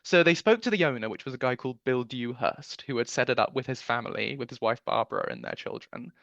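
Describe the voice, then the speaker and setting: A man's voice: medium pitch, quick pace, gravelly, fairly bright tone, no room sound, highs cut off. A man giving chatty, enthusiastic interview answers as a producer, recounting memories in a conversational way.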